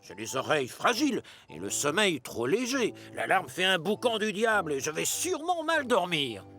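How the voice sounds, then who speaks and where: A pompous cartoon locomotive, pitched very high and swinging wildly up and down. He is fussy and self-important, forever grumbling, with faint music behind him. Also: wildly swooping pitch, normal speed, pushed and bright, heavily acted. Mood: haughty, complaining. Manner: haughty, complaining, self-important. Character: proud, fussy, grumbling male steam locomotive. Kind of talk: French-dubbed children's cartoon acting.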